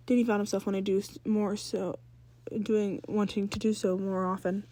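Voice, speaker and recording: A woman's voice: mid-range voice, level tone, moderate pace, mellow. A woman reading fan fiction aloud as a story narrator, sometimes stumbling and rereading phrases.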